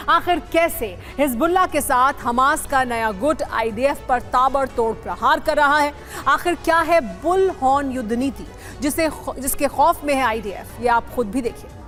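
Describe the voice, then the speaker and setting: A woman's voice: sits high, a bit bright. A woman news anchor delivering a dramatic scripted introduction in an emphatic, questioning manner. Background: music.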